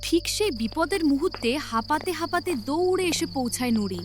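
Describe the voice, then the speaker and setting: A woman's voice: high voice, lively intonation, normal speed, background noise. A woman narrator reading a scripted story in a dramatic storytelling style. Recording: loud music behind the voice.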